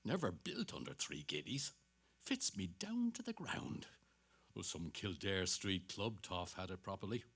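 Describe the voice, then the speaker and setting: A man's voice: sits low, big pitch swings, measured pace, gravelly, a bit bright, slightly rough, heavily acted. An actor playing a vain, dapper middle-aged salesman who chatters to himself in a dramatic stage reading. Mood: smug. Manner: self-satisfied, muttering to himself between hearty greetings.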